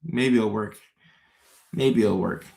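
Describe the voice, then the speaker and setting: A man in his thirties from Ghana, hosting a relaxed livestream and chatting with viewers, often repeating his phrases. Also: fairly low pitch, slow, gravelly. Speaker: host. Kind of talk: casual livestream chat.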